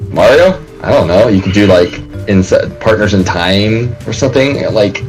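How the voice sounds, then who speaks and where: A man's voice: fairly low pitch, animated, normal speed, loud music behind the voice, rough recording. A man co-hosting a podcast, sharing opinions in casual chat. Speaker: podcast co-host.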